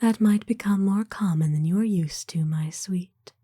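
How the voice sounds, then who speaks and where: A woman playing a kind, aristocratic vampire in a whispered ASMR roleplay, speaking gently and soothingly with formal, old-fashioned phrasing. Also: mid-range voice, measured pace. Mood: tender, soothing. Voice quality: breathy, hushed whisper. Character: kind, doting, aristocratic female vampire owner.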